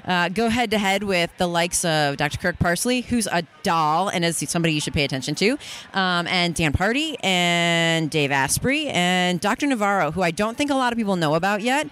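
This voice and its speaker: A woman's voice: on the low side, expressive, brisk, fairly bright tone. A woman guest in a casual in-person interview, bubbly and enthusiastic, recorded on a busy expo floor. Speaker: guest, fitness workshop presenter. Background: crowd ambience.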